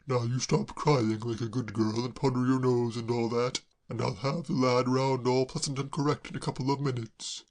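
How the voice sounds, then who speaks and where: A reader voicing a genial, bumbling young English gentleman who speaks slowly and in a puzzled way. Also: rumbling low, steady tone. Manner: fussy, polite, slow and puzzled.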